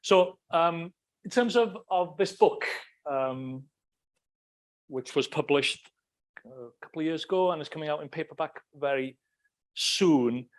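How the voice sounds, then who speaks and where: A man's voice: on the high side, a little slow, long pauses. A middle-aged man with a Welsh accent giving a conversational academic lecture, with frequent ums and restarts. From Wales. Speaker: academic speaker. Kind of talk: lecture talk.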